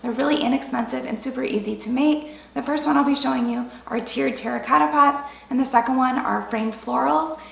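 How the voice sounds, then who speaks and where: A woman hosting her own home decor channel, her voice raspy from a recent illness, walking viewers through each craft step in a chatty, plain way. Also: sits high, fairly quick, few pauses. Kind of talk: casual step-by-step craft tutorial.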